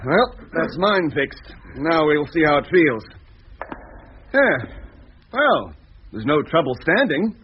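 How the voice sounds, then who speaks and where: A man acting as a calm, reassuring ship's doctor, measured and instructive, in a 1950s radio drama. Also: fairly high pitch. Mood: curious, amused. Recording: muffled, hissy 1950s radio recording.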